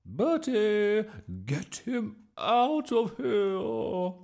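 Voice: medium pitch, big pitch swings, drawn-out words, vocal fry, a little mellow, pitched above the performer's own voice, full character voice. A performer voicing a bossy, ill-tempered woman teacher in a storybook, screeching and snappish. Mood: alarmed, screeching. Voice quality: screeching, squawking. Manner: snappish, commanding.

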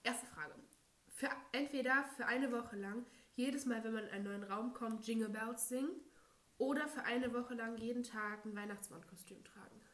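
A woman's voice: a little high, normal speed, a bit bright. Teen girl podcast co-host, nasal from a cold, chatty and giggly, roomy echo. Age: teens. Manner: chatty, giggly, many fillers. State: stuffy nose from a cold. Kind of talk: casual chat. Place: friend's home. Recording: echoey room.

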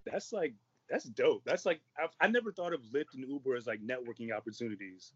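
A man co-hosts a podcast, thinking aloud with many fillers. He talks quickly. The recording is dry and dull. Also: mid-range voice, lively intonation, a bit bright, breathy edge, no room sound. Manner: quick, filler-heavy musing. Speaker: podcast co-host. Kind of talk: casual chat.

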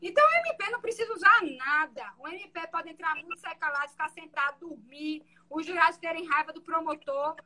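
A woman defense lawyer with a soft voice, explaining her courtroom methods in an interview. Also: extremely high pitch, animated, brisk, hardly any pauses.

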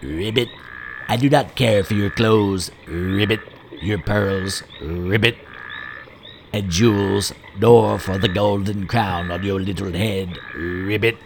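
A man voicing a male frog in a well who croaks "ribbit" between his phrases, in a storybook reading. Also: fairly low pitch, slow, a little hiss or hum. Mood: pleading.

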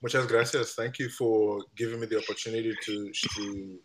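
A man's voice: lowish voice, steady tone, a little fast, a crowd in the background, poor audio. A man in his thirties from Nigeria, chatting as a podcast guest, laughing often and filling gaps with "uh". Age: 30s. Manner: laughs often, many fillers. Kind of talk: casual interview chat.

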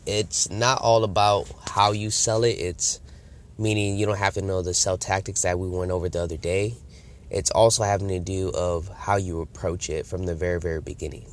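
Deep-voiced man with a cold. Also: steady tone, moderate pace. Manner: conversational, acts out customer and salesperson lines. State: congested from a cold. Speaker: sales coach. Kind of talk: casual instructional talk to camera.

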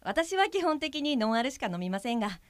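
A woman's voice: high-pitched, big pitch swings, brisk, clean tone, dry recording. A woman playing an earnest guide helper for blind people in an audio drama, explaining in a polite, businesslike manner. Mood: matter-of-fact.

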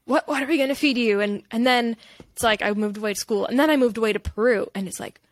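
A woman's voice: a little high, quick pace, no echo. Woman, podcast guest, candid, self-reflective, rambling casual talk, dry close recording. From Canada. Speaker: podcast guest, holistic nutritionist. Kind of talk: casual conversational interview.

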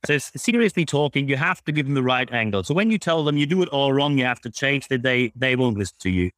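A man's voice: mid-range voice, expressive, quick pace, a bit bright, dry recording. A man with a German accent giving blunt, emphatic, explanatory answers as an interview guest. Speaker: guest, public speaker on leadership.

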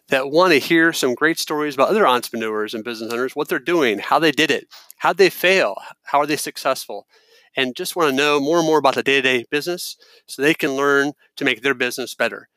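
A man's voice: medium pitch, lively intonation, brisk, sharp tone, no echo. A man hosting a radio interview show, friendly and self-deprecating with his guests. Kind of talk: interview host.

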